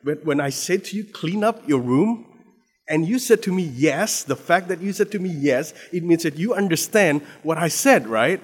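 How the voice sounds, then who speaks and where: Indonesian-accented preacher in a reverberant hall. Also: male voice, on the high side, breathy edge. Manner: many restarts and repeated words, rising to emphatic stress. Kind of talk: sermon.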